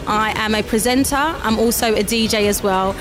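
A 27-year-old woman with a husky, deep voice, a presenter and DJ chatting and joking about herself. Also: normal speed, poor audio. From South East London.

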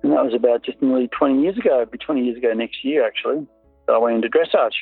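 A man's voice: medium pitch, rapid-fire, vocal fry, slightly rough, no room sound. A man in his forties with an Australian accent, a guest in an interview, telling his story in an easygoing, rambling way with many ums. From North Coast of New South Wales, Australia. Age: 40s. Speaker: guest, dressage rider and coach. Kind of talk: relaxed interview chat. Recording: tinny phone-like line with faint music.

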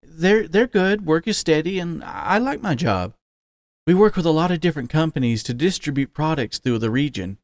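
A man's voice: fairly high pitch, sing-song, normal speed, no echo, studio quality, pitched above the performer's own voice. A man voicing a young adult son, a wolf living as a horse, who sounds hurt and defiant with a rising voice. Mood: nervous.

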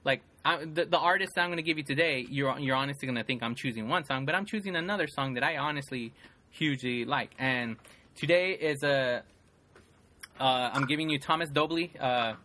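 A man co-hosts a casual podcast in a bright, forward voice. He rambles loosely, piling up fillers and restarting his sentences as he talks. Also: mid-range voice, fairly quick, slight background noise. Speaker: podcast co-host. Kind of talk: casual chat.

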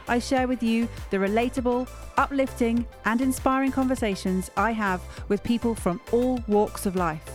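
A woman hosting a podcast, delivering a polished, upbeat scripted intro and then asking her guest questions. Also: a little high. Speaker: podcast host.

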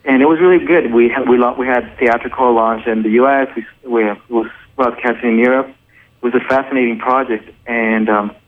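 A man's voice: medium pitch, fairly even intonation, a little fast. A man with a mid-range voice, squeezed into a narrow phone-like band. He talks earnestly about his work, restarting his thoughts as he goes. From Colombia. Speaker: documentary producer, guest. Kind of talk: casual radio interview. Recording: narrow phone-like radio sound.

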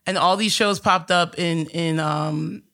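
A woman stand-up comedian from New with a deep, raspy voice, chatting casually in an interview with lots of fillers and restarts. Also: steady tone, unhurried, fairly bright tone. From Astoria, New York. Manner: rambling, many fillers and restarts.

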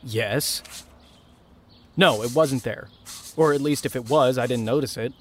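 A man's voice: mid-range voice, unhurried, slight background noise, lightly acted. A man playing a smug, impatient heir of a small-town family in a naturalistic audio drama. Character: entitled, irritable male heir to small-town family fortune.

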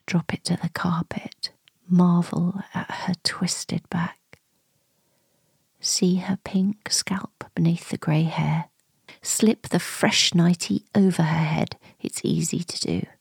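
A woman in her forties narrating a first-person radio play in short, hushed, confiding phrases with a breathy voice. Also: lowish voice, no room sound. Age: 40s. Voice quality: breathy, husky. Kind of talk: intimate first-person dramatic monologue, present tense.

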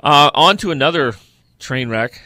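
A man's voice: on the high side, lively intonation, moderate pace, pushed and bright, no room sound. A man co-hosting a sports podcast, giving blunt, opinionated takes on football in a conversational style.

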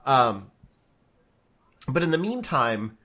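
A man's voice: mid-range voice, very animated, takes it slowly, sharp tone. A man co-hosting a casual online show, congested and sniffly from a cold, rambling with lots of fillers and restarts. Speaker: podcast co-host. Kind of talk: casual chat. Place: home room. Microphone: webcam setup, remote call.

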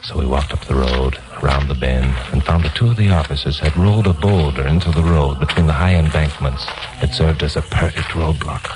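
A man's voice: very deep voice, fairly quick, few pauses, low-quality recording. An actor with an American accent playing a tough, wisecracking newsman detective who narrates his case in a 1950s radio drama. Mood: tense, storytelling. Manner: hard-boiled, teasing. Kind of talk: 1950s radio acting, first-person narration. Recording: 1950s radio recording with music.

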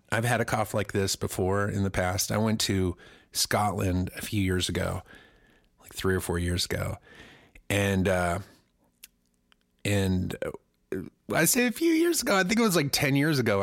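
Gravelly man with a lingering cold. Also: fairly low pitch, lively intonation, moderate pace, no echo, clean studio sound. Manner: crude, rambling joking. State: getting over a cold, coughing. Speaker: podcast co-host, singer. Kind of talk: casual podcast banter.

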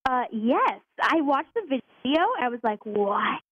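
A woman caller gushes over a muffled phone line, her voice high and full of "like" and "literally." The dull, narrowed sound of the phone sits over everything she says. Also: lively intonation, unhurried, husky, no room sound. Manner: gushing, says "like" and "literally" a lot. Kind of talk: excited phone call.

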